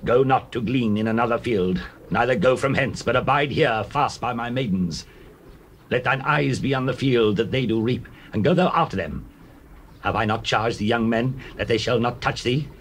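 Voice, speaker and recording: An actor playing Boaz, a kindly, dignified older landowner, in measured, solemn classical delivery of dramatized scripture. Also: male voice, mid-range voice, lightly acted. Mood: gentle authority. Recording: noisy old cassette tape.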